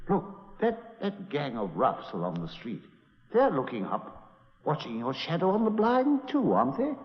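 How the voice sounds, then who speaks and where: A loyal companion who keeps exclaiming and asking bewildered questions in an old radio play. His fairly high voice swings widely in pitch. The muffled vintage recording sounds roomy, with a long reverb. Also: wildly swooping pitch, measured pace. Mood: puzzled. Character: loyal, easily astonished male companion. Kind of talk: 1940s radio acting.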